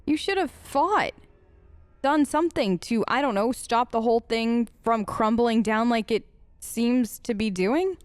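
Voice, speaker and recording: Higher-pitched woman, dry close recording. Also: a little slow, no echo. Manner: informal, outspoken. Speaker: let's play host. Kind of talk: casual improvised role-play commentary on a game.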